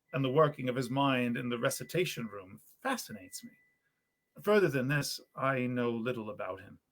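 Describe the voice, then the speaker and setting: A man voicing an earnest, good-natured young male student from a storybook, with animated, eloquent old-fashioned speech. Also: medium pitch. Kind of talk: storybook character reading.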